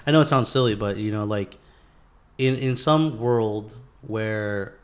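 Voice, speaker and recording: A man's voice: fairly low pitch, a little slow, smooth. A man hosting a podcast in casual chat, peppering his slangy, sometimes profane talk with bro, dude and man. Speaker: podcast host.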